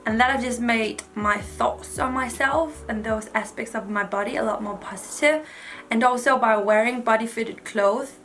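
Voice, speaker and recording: A woman's voice: fairly high pitch, a bit bright. A woman, giving frank, chatty advice to camera with lots of "like" fillers. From Denmark. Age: 20s. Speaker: vlogger. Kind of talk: casual advice talk to camera.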